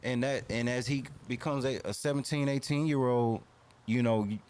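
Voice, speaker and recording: A man's voice: medium pitch, steady tone, normal speed, darkish tone, smooth. A man in his thirties talking casually and earnestly as a podcast guest, opinionated and slangy. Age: 30s.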